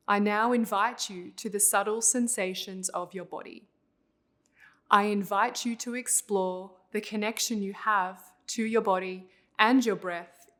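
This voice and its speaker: A woman leading a guided visualization as a meditation instructor, speaking in a calm, unhurried, instructional way. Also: medium pitch.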